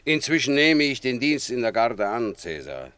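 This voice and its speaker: An actor playing a proud, fearless warrior who declaims solemnly in a radio play. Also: male voice, mid-range voice, very animated, normal speed, a bit bright, clear, resonant tone, no room sound. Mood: grave resolve. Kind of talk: theatrical radio play acting.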